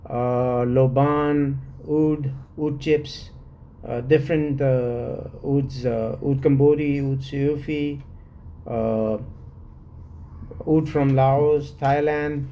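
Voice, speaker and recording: Slow, hesitant man with a mellow voice. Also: mid-range voice, fairly even intonation, a little hiss or hum. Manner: slow and hesitant, many "uh" fillers. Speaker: fragrance reviewer. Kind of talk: unscripted solo review.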